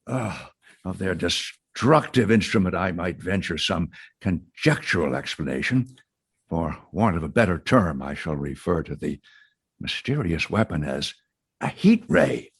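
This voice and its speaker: A grave, lecturing professor. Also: male voice, deep voice, animated, husky, full character voice. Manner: measured, formal, lecturing. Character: learned older male astronomy professor. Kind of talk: radio drama acting.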